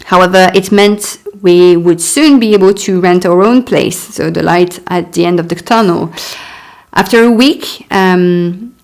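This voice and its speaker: A woman in her thirties whose first language is French, reading her own memoir aloud and stopping for chatty asides. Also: fairly low pitch. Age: 30s. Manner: unhurried reading broken by chatty asides and little laughs. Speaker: author.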